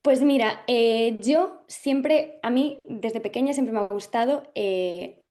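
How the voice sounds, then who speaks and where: A young woman from Galicia speaks as an interview guest, her voice sitting a little high. She thinks aloud as she goes, pausing on fillers and restarting her sentences before settling on what she means. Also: warm tone. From Galicia, Spain. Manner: thoughtful, hesitant, many fillers and restarts. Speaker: actress guest. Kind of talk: casual interview chat.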